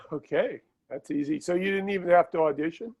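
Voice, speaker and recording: A man's voice: on the high side, brisk, gravelly, darkish tone. A male interviewer reading prepared questions over a video call, formal and brief in his replies. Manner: formal questions with short affirmations. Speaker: interviewer, film site host.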